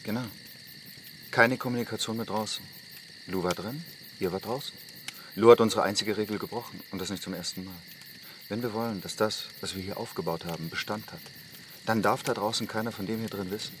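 An actor playing the self-assured young leader of a secretive commune in a radio drama, speaking firmly and deliberately. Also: male voice, on the low side, noisy background, lightly acted. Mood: stern. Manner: deliberate, firm, commanding. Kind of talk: German radio drama, naturalistic acting.

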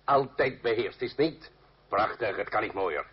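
A man's voice: on the low side, animated, normal speed, husky. An actor playing a coarse, blustering male revolutionary in a radio drama, bellowing, swearing and mocking. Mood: brash, mocking. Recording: dull noisy old radio recording.

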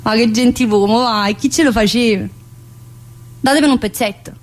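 A woman's voice: on the high side, moderate pace, natural voice. A woman playing a cheeky, needling female prison inmate in a colloquial theatre monologue, with a southern Italian accent and a teasing, familiar manner. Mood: playful, mocking. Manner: teasing, familiar, full of rhetorical questions. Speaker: stage actress performing a monologue. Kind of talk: theatrical monologue in colloquial dialect-tinged speech.

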